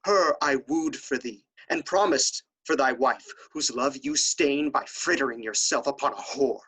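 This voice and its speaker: Jealous noble brother played by a man for period comedy. Mid-pitched, forceful voice, formal and theatrical. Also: a little slow, husky, rough recording. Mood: challenging. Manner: formal, dramatic, archaic speech. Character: proud, jealous young nobleman, vengeful brother. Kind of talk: period stage comedy audio drama.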